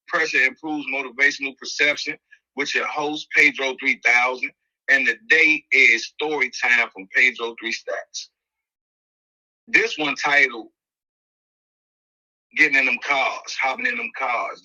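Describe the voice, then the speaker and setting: A man with a Washington, D.C. accent speaks in a very sharp, forceful voice on slightly dull, lo-fi audio. He hosts casually, spinning a story in animated street slang and hammering phrases through repetition. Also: mid-range voice. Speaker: podcast host. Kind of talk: casual first-person storytelling.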